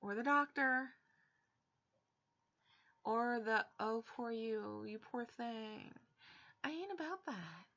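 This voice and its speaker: A woman's voice: on the high side, animated, slow, pauses often, bright, forceful tone. A young woman, talking frankly and with exasperation in a personal vlog from her bedroom. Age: young adult. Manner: frank, exasperated, blunt asides. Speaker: vlogger. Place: bedroom, indoors. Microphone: webcam at desk. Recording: dull lo-fi webcam audio.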